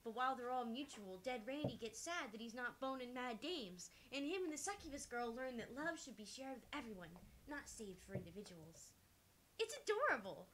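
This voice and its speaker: A woman plays a young witch detective from a visual novel, high and bright in voice. She is brash and slangy, swears freely and swings lively through her lines, over clear background noise. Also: fairly quick, poor audio. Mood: gushing, fond. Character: foul-mouthed young witch detective woman, irreverent and gushing. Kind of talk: acted reading of visual novel dialogue.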